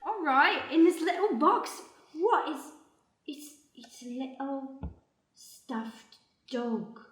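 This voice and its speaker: Woman as an eager adventurer, high echoey voice. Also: expressive, takes it slowly, fairly bright tone, full character voice. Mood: curious, urging. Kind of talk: improvised radio play acting. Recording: echoey room, music behind.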